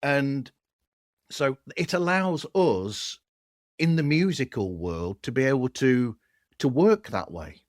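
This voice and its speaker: A man's voice: medium pitch, lively intonation, takes it slowly, no echo. A man hosts a casual podcast chat. His voice sits in the middle of the male range, recorded very dry and close with little room sound. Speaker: podcast host.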